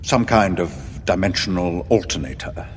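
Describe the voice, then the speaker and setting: Casting: deferential, evasive official, low voice with lively swings, audio drama, long reverb. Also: male voice, normal speed. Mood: defensive. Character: uneasy, evasive male official, pragmatic and deferential. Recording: long reverb, faint background noise.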